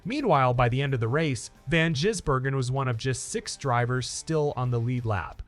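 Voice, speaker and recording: A man's voice: mid-range voice, normal speed. A man narrating scripted motorsport news in an upbeat, emphatic presenter style. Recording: music under the voice. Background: background music.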